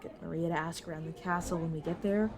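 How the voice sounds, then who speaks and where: A woman playing a brisk, protective vampire mentor in an audio roleplay, talking casually and teasingly to a new fledgling. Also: fairly low pitch, steady tone, a crowd in the background, lightly acted. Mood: serious, confiding. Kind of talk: narrative audio roleplay, addressed to the listener.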